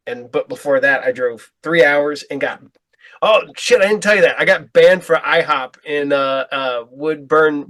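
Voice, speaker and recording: A man in his late forties hosts a relaxed podcast conversation and tells a meandering story full of restarts and asides. His voice sits a little high for a man. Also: no room sound, low-quality recording. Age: 40s. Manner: rambling storyteller, stumbling restarts. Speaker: podcast host. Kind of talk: casual chat.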